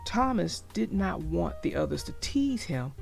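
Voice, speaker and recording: Slow, expressive woman reading a children's story. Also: on the low side, creaky, faint music behind. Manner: deliberate, expressive, addressing young children. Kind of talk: expressive storybook read-aloud.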